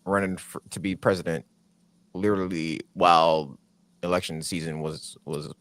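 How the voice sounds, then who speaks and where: A man's voice: low-pitched, level tone, moderate pace, fairly bright tone, dry recording. A man co-hosting a podcast, talking bluntly with lots of slang in casual chat. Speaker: podcast co-host.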